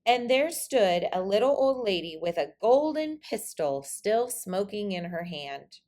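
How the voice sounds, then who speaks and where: A woman reading a children's story aloud in an expressive, dramatic storytelling manner. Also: mid-range voice, measured pace. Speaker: storybook reader.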